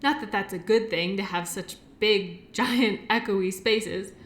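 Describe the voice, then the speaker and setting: A woman's voice: mid-range voice, unhurried, lightly acted. A woman playing a shy, awkward, kindly medical worker in an audio roleplay, talking in a hesitant, rambling, apologetic way. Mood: musing, nervous. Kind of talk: audio roleplay acting, addressing the listener.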